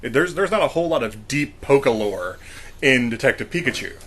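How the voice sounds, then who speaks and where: A man with a bright, sharp voice at a medium pitch speaks as a panelist in a casual discussion. He comes across as matter-of-fact and corrective. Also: animated, fairly quick, slight background noise. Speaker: podcast panelist.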